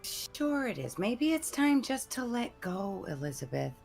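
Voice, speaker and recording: A woman's voice: fairly high pitch, sing-song, a little slow, vocal fry, a little mellow, soft background music, exaggerated voice. A woman voicing a tipsy, carefree, impulsive woman, gushing and insistent in a comedic radio-drama table read. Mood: breezy.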